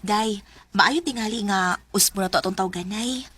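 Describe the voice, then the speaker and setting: A woman's voice: mid-range voice, normal speed, fairly bright tone, a little hiss or hum, close to an everyday voice. A woman playing a friendly, generous young classmate in a radio drama, with a Filipino Bisaya accent. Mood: warm, generous.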